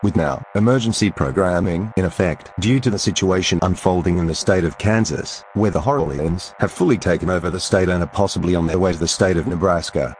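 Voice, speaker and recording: A man playing a serious local radio news announcer, reading scripted bulletins in a measured, formal way. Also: deep voice, darkish tone, lightly acted. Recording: noisy broadcast with hum.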